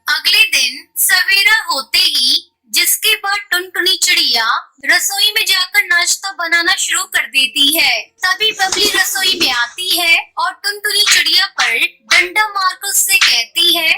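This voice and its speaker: A woman's voice: very high voice, unhurried, bright, forceful tone, low-quality recording. A woman narrating a scripted children's cartoon story as the storyteller.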